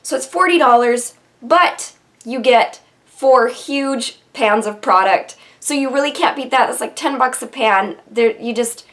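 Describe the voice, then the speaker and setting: A young woman with a slightly high voice talks straight into a close camera mic from her bedroom vanity. A head cold makes her sound stuffy and nasal. She chats eagerly and loosely, with frequent ums and restarts. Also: a little slow, a bit bright. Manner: chatty and enthusiastic, many ums. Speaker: beauty vlogger. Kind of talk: casual product review to camera. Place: bedroom with vanity and shelves, indoors.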